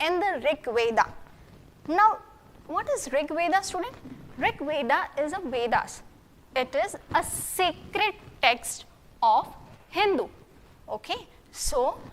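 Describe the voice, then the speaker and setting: A woman's voice: high-pitched, fairly bright tone, slight background noise. A woman teacher with an Indian English accent, giving a recorded lesson and checking in with students often. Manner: questioning, coaxing, checks in with "yes?" often.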